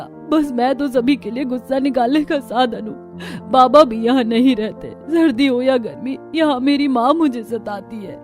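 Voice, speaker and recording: A woman voicing a meek, lonely, mistreated young stepdaughter in an animated melodrama, with plaintive delivery. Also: high voice, natural voice. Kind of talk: Hindi animated family melodrama acting.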